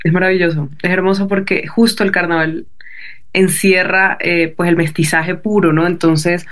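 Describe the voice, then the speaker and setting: Colombian Caribbean woman, fairly even intonation. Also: fairly low pitch, normal speed. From Barranquilla, Caribbean coast of Colombia. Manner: discursive, with frequent tag questions. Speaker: guest novelist. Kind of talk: casual conversational explanation.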